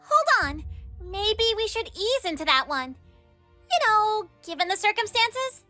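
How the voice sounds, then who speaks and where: A woman's voice: very high-pitched, big pitch swings, a little slow, sharp tone, exaggerated voice. A woman voicing an earnest, friendly young female cartoon animal in a comic dub, explaining things plainly over music. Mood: helpful. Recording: music under the voice.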